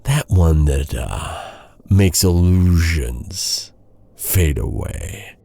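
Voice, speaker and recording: An actor playing an embittered, vengeful knight in a dark fantasy audio roleplay, brooding and intense, with halting dramatic delivery. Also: male voice, sits low, animated, vocal fry, husky, no room sound, heavily acted. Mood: grave, restrained. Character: vengeful, embittered male knight interrogating his captive enemy.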